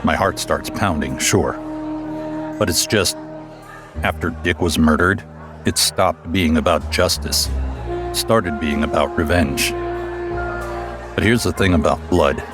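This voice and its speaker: A man playing a brooding middle-aged billionaire and retired vigilante in an audio drama, speaking gravely and confidingly. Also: low-pitched, slow pace, long pauses, over music. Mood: dark, confessional.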